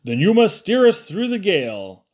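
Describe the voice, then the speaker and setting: A man's voice: high voice, big pitch swings, normal speed, darkish tone, pitched above the performer's own voice. Man playing a bossy, commanding pirate captain.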